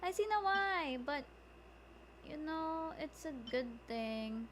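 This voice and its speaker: A woman chats casually while streaming a game. Her high voice moves at a slow pace and turns playfully exclamatory, with clear background noise of the game. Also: animated. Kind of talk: casual live gaming commentary.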